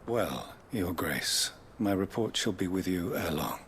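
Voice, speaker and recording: An actor playing a stiff, formal knight of a sworn order in a fantasy game, speaking archaic lines with ceremonious deference. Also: male voice, deep voice, animated, unhurried, fairly bright tone, slight background noise. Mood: formal, reserved.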